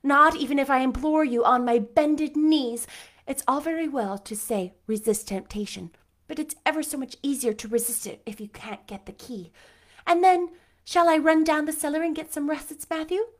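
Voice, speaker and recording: A woman's voice: sits high, normal speed, voice pushed up, lightly acted. A woman voicing an imaginative, talkative young girl in a storybook reading, earnest and bookish. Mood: pleading. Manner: earnest, bookish, bright and chatty. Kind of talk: audiobook character voice.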